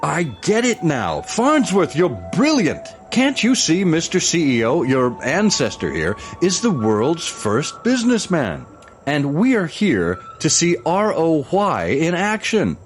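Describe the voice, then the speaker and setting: An actor playing an excited visionary who lectures his boss, theatrical and declamatory, in an audio musical drama. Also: a little high, a little slow, soft background music. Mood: triumphant.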